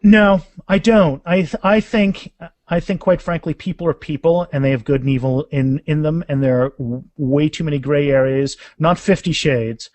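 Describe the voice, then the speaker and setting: A man's voice: fairly high pitch, moderate pace, darkish tone, no room sound. A man chatting casually as a guest author, emphatic and often repeating words as he explains. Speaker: guest author discussing his books. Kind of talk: casual animated interview chat.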